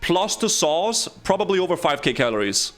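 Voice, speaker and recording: Lively man streaming. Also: sits high, normal speed, faint music behind. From Denmark. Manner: excitable, chatty, talks straight to chat. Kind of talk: casual live-stream commentary.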